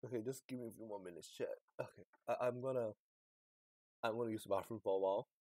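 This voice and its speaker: A man live streaming a game, chatting casually and haltingly, muttering to himself and to his chat. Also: lowish voice, fairly even intonation, mellow, no room sound, clean studio sound.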